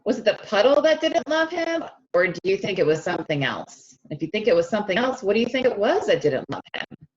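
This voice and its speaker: A woman reading a picture book aloud to children, expressive and stretching key words, often stopping to ask them questions directly, on a slightly dull lo-fi recording. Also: medium pitch, brisk. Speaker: speech-language pathologist reading to children. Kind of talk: animated children's read-aloud with questions to listeners.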